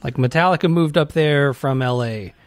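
A man's voice: a little high, moderate pace, no echo. A man co-hosting a podcast, giving casual commentary and explaining his thoughts as he works them out. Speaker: podcast co-host reviewing a music documentary.

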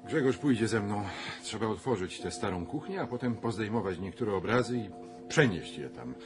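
An actor playing a sceptical, condescending gentleman in a radio drama, dismissive, lightly amused and musing. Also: fairly low pitch, lively intonation, normal speed, music in the background, close to an everyday voice. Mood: brisk, musing. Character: sceptical, condescending gentleman exploring a haunted castle. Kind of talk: radio play acting.

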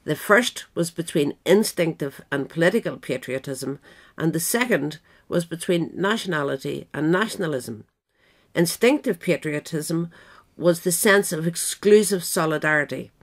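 A woman reading a scripted history essay aloud in a measured, formal manner. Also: low voice, animated. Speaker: audiobook reader.

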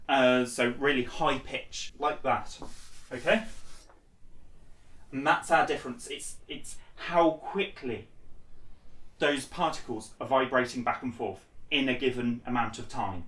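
A man in his twenties gives an informal lesson in a mid-range voice, explaining one step at a time. He sounds a little way from the microphone. The small room adds a clear echo around his words. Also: mid-range voice, fairly bright tone. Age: 20s. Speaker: instructor. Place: small bare indoor room. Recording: echoey room, distant microphone.

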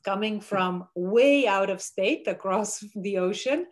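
A middle-aged woman from Bulgaria, a professor speaking as an interview guest over a video call, measured and thoughtful with frequent fillers. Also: mid-range voice. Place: home room with window blinds.

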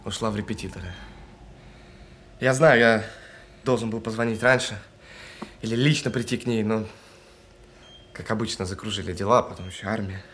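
An actor in an audio drama playing a guilt-ridden bomb technician on the phone, speaking haltingly and earnestly. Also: male voice, fairly low pitch, fairly even intonation, a bit bright, slight background noise, close to an everyday voice. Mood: remorseful, resigned. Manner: halting, earnest, apologetic, with stammered restarts. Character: guilt-ridden male bomb defuser saying goodbye by phone.

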